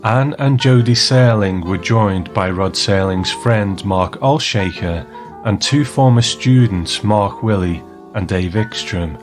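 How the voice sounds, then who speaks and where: Low-voiced male narrator over loud guitar music. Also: slow. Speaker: podcast narrator. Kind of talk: scripted documentary narration. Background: loud plucked guitar music.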